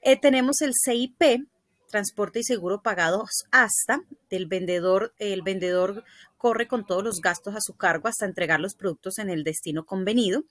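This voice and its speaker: A woman's voice: medium pitch, normal speed, no room sound. Woman reading a scripted lesson, dry sound. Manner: didactic, reading with occasional stumbles. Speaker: presenter explaining a lesson. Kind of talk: scripted explanatory narration, read aloud.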